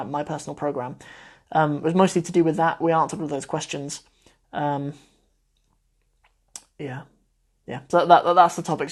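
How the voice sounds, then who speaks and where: Chatty young man on a phone livestream. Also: a little high. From Cornwall, England. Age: 20s. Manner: chatty and meandering, often trailing off softly. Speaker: Arabic teacher hosting a live Q&A. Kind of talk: casual livestream chat. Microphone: phone mic.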